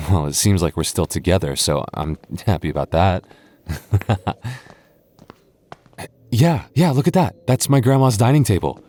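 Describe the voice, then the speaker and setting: A man voicing an affectionate, teasing young goth boyfriend in an ASMR roleplay, talking softly and intimately. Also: low voice, lively intonation, unhurried, long pauses. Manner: soft, intimate, playfully teasing. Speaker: voice actor.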